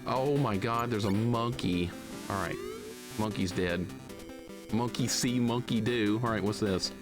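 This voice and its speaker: A man's voice: on the low side, measured pace. A man giving casual, grumbling and sarcastic commentary while streaming a video game. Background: game music.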